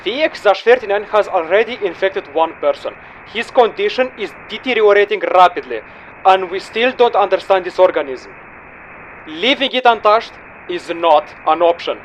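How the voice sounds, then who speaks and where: Man playing a high-pitched, curt mission handler. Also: animated, normal speed, a little hiss or hum, rough recording. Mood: grave. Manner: formal, curt, unyielding. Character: stern, businesslike male mission handler briefing a mercenary. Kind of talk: scripted mission briefing, audio log drama.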